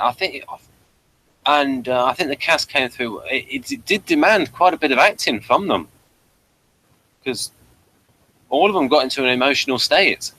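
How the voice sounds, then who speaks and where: A man hosting a review show, chatting casually in a hesitant, halting way and often repeating words. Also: medium pitch, pushed and bright, poor audio. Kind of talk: casual audio drama review chat.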